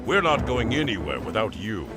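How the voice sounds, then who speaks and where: A man's voice: lowish voice, sing-song, normal speed, over music. A man playing a blunt, loyal male warrior comrade in game cutscenes. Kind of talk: video game cutscene acting.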